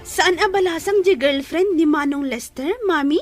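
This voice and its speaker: A woman's voice: high voice, normal speed, faint music behind, lightly acted. A woman playing a catty, disbelieving young daughter in an Ilocano audio drama. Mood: incredulous, mocking.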